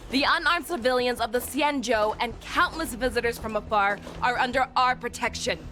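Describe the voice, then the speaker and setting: A woman's voice: on the high side, moderate pace, sharp tone, soft background music. Commanding, resolute female general. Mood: rousing command. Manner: authoritative, resolute. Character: bold commanding woman general rallying her knights. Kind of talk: anime-style game voice acting.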